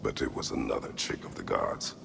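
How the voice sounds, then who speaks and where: A man voicing a grave, terse middle-aged warrior haunted by past cruelty, with a deep, gravelly, breathy rumble, in a video game. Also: full character voice. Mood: grave, remorseful. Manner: terse, solemn, measured. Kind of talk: dramatic video game voice acting.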